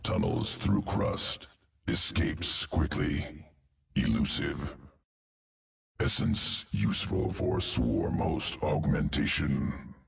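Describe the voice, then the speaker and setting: A man voicing a cold, calculating insectoid alien in a video game, speaking in clipped, telegraphic phrases with a gravelly, guttural voice. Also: extremely low pitch, animated, slow, full character voice. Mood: cold, clinical. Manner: clipped telegraphic phrases, dropped words, detached. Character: alien insectoid evolution master of a swarm, cold, clinical, calculating. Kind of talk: video game character dialogue.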